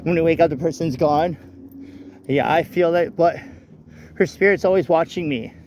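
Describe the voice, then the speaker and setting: A man rambling casually and haltingly into a handheld phone as he walks outdoors, often repeating his phrases. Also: on the high side, a little mellow. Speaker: vlogger. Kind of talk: casual rambling walk-and-talk chat. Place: outdoors walking along a street at night. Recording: noisy outdoor phone, traffic ambience. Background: vehicles and street ambience.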